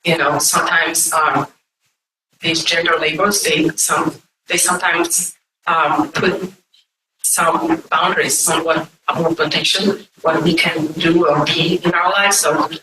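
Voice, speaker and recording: A woman with a Brazilian accent giving an informal, unscripted talk to an audience in a halting, digressive way. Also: low voice, fairly even intonation. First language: Portuguese. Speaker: guest speaker. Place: echoey indoor conference room. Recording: echoey distant room with faint noise.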